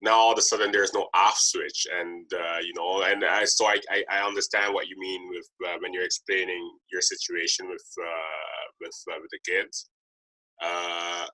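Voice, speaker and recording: A man with a low, bright-edged voice hosts a casual podcast interview. He speaks haltingly, circling back over his words as he feels his way to the point. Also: little pitch movement, rough recording. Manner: hesitant, repetitive, halting delivery. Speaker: podcast host interviewing a guest.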